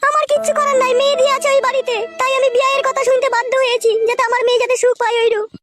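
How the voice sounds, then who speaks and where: Squeaky, pleading village widow. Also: female voice, level tone, very fast, over loud music. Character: pleading widowed village woman, apologetic but unyielding lover. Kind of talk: comic cartoon dialogue.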